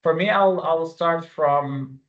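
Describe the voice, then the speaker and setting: A man whose native language is Arabic, teaching an online exam-review webinar with live quiz questions in an informal, halting way. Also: fairly high pitch. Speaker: exam-prep instructor. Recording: dull, lo-fi webinar audio.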